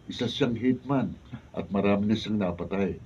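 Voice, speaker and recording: Man acting a mellow, steady advising buddy. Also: on the low side, moderate pace, natural voice. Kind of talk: Filipino radio drama acting. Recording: distant dull video sound, faint noise.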